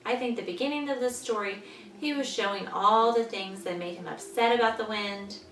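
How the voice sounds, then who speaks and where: A woman teaching young children, patiently explaining in simple words and pausing after questions, as in a story lesson. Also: mid-range voice, a bit bright. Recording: echoey room, distant mic, faint noise.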